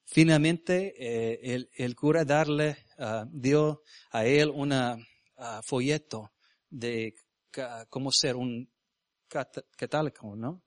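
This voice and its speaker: A man born in Venezuela who grew up speaking English, a missionary preaching in Spanish with an American English accent, often halting to search for words and asking the congregation questions. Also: medium pitch, dry recording, clean studio sound. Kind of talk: sermon with personal stories. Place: church.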